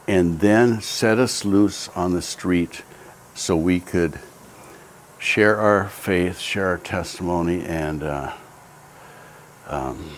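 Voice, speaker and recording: Slow, deep-voiced older man reminiscing. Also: darkish tone, a little hiss or hum. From Japan. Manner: hesitant, many ums and restarts. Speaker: interviewee telling his life story. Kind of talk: reflective personal storytelling.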